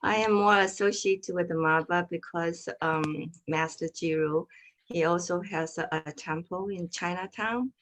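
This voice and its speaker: Low-voiced hesitant woman. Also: measured pace, fairly bright tone, low-quality recording. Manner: hesitant, many fillers. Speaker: student. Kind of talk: class self-introduction.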